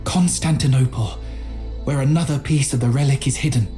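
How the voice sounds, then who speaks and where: An actor playing a learned, timid chronicler in a video game, speaking in formal, measured, literary phrases. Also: male voice, medium pitch, mellow, faint music behind, lightly acted. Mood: solemn, reflective. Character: earnest, learned, timid medieval scholar and chronicler. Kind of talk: dramatic journal narration in a video game.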